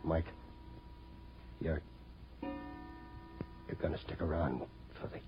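Solemn halting man, deep slow delivery, muffled vintage radio recording. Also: steady tone, over music, full character voice. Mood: grim, weary. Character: weary, solemn man waiting out grim minutes. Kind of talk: 1940s radio crime drama acting.

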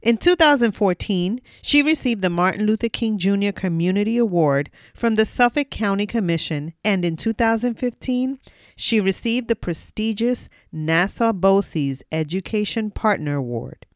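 A woman's voice: mid-range voice, lively intonation, moderate pace, dry recording, studio quality. A woman hosting a podcast, reading a formal introduction and then asking questions with enthusiastic, affirming responses. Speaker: podcast host. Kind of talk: podcast interview.

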